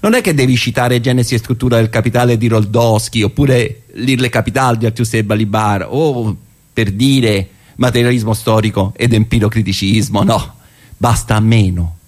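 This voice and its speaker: A wary old comrade of the left, played by a man, speaking in a fairly low voice. He delivers a theatrical monologue with deliberate phrasing, weighted pauses and dry irony. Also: a little mellow. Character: wry, wary old leftist militant, male.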